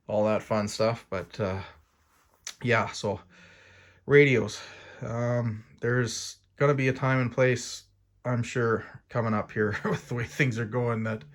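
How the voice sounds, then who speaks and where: A man giving a casual, unscripted explainer as an outdoors gear host, rambling and hesitant in an informal way, often checking in with a tag question. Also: on the low side.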